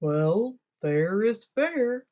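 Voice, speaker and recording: A woman puts on a proud male elephant from a children's tale, big on himself and boastful. The lines come slowly, with wide rises and falls. The sound is a touch lo-fi. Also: a little high, sing-song, dark tone, heavily acted. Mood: resigned. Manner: trumpeting, boastful.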